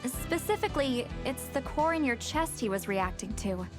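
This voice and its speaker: A proud, sharp-tongued young shaman for a video game. She talks in a wry, slightly haughty lecturing tone with a fairly high voice, over clear game music. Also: expressive, moderate pace. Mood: explaining. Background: game soundtrack music.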